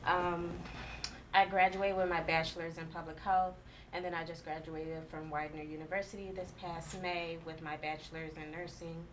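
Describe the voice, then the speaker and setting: A woman's voice: sits low, level tone, normal speed, a little hiss or hum, rough recording. A woman in her twenties from Mali, speaking in an easygoing way and laughing readily on a discussion panel. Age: 20s.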